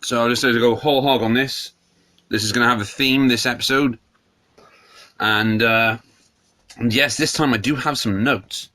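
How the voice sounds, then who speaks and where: A man's voice: mid-range voice, fairly even intonation, moderate pace, long pauses, pushed and bright, no echo. A man hosting a solo podcast, congested from a cold, rambling and opinionated. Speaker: podcast host. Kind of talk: solo casual podcast chat.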